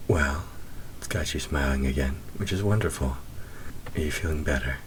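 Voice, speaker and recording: A man voicing a teasing, flirtatious winged demon in a roleplay audio drama, speaking intimately and often slipping into breathy whispers. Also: very deep voice, normal speed, slight background noise. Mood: teasing, tender. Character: teasing, flirtatious male demon with wings, secretly grieving.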